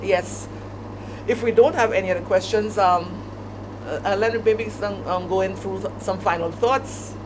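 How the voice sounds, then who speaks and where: Female panelist, Saint Lucian accent, informal discussion remarks, recorded with clear background hum. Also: medium pitch, unhurried. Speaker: panelist, air traffic services manager.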